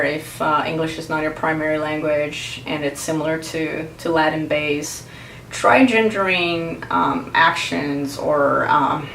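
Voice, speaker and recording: A woman's voice: low-pitched, far from the mic. Woman, earnest vlog talk, echoey room, faint background hum. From Brazil. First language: Portuguese. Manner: thoughtful, explanatory, pauses to search for words. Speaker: vlogger. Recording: roomy echo, mic set back.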